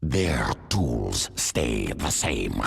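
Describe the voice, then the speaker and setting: Game creature role: fierce primal beast, extremely gravelly and husky, ponderous blunt declarations, faint music behind. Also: male voice, a bass voice, big pitch swings, extremely slow, exaggerated voice. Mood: scornful, proud. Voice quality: cavernous raspy growl. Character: huge proud primal alien beast, blunt and fierce, male. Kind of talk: video game cutscene acting.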